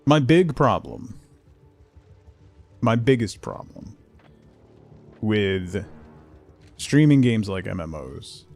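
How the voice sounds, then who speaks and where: A man's voice: fairly low pitch, sing-song, takes it slowly, creaky, long pauses, darkish tone, faint music behind. A man chatting casually as he livestreams a game, muttering asides to himself and his viewers. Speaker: game streamer. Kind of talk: casual livestream gameplay chat.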